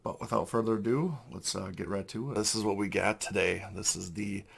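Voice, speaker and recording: A young man, hoarse from losing his voice, hosting a casual unboxing review with many fillers and throat clearing. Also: lowish voice, fairly even intonation, fairly quick. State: hoarse, lost voice. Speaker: toy collector channel host.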